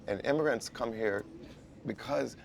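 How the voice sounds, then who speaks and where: A man's voice: mid-range voice, measured pace, creaky, noisy background. A man chatting earnestly over lunch in a restaurant, building his points step by step. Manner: earnest, persuasive, speaks in building phrases. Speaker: guest in casual lunch chat. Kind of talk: casual conversation. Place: busy restaurant. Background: restaurant crowd chatter.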